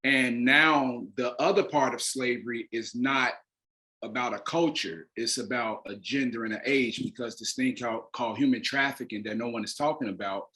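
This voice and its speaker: Guy being interviewed, regular pitch, serious explainer, deliberate w emphasis on key words. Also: bright, forceful tone. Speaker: interview guest, playwright and actor. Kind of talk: earnest explanatory interview talk.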